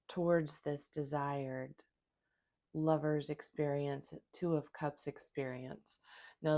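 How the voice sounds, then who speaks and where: A woman with a hoarse voice from a cold, giving a calm, flowing spiritual tarot reading. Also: low voice. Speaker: tarot reader.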